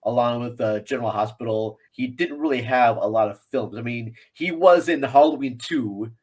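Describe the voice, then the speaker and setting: Slightly husky man chatting about films. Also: medium pitch, normal speed, darkish tone. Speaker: podcast guest. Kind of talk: casual film chat.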